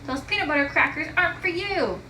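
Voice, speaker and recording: Lady doing a bossy bookish kid girl, loud and high, echoey room. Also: a little fast, background noise, lightly acted. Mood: urgent, shouting. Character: bossy, bookish young schoolgirl, loud and eager.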